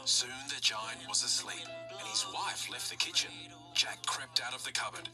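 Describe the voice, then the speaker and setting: A woman storyteller reading a children's story aloud with expressive narration. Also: very deep voice, measured pace, music in the background.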